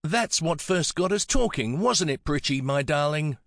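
A soft-spoken old lady from an audiobook, performed by a man, with an Antipodean accent. The voice is lifted high and swoops about, chatty, fond and coaxing. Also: lively intonation, moderate pace, gravelly, dry recording, clean studio sound. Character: kindly, affectionate elderly woman, brisk war veteran.

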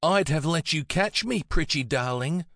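A man voicing a kindly, affectionate elderly woman with a soft Antipodean accent and a fond, coaxing manner. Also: a little high, animated, a little slow, dry recording, studio quality, voice pushed up. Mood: playful.

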